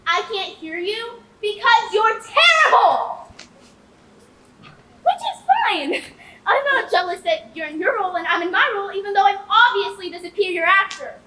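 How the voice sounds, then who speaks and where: A woman's voice: very high voice, very animated, moderate pace, sharp tone, full character voice. A young woman on stage playing a jealous, attention-hungry card dealer with theatrical, boastful delivery, in a school comedy. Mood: jealous, scornful. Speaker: junior thespian performer. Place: echoey stage, recorded from a distance. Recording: echoey stage, distant mic, faint noise.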